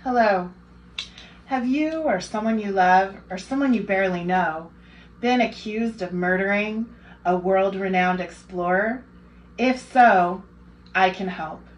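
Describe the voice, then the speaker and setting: A woman voicing a slick lawyer in a mock advertisement, smooth and salesy. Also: lightly acted. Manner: smooth sales pitch, ad-reading. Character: slick female lawyer in a radio ad. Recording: dull, lo-fi sound.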